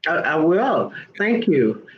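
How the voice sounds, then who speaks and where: A woman's voice: sits low, sing-song, slow pace. Older female guest from Arkansas, conversational storyteller, slightly muffled call audio with light background noise. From Little Rock, Arkansas, United States. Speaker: guest, education advocate. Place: video call livestream.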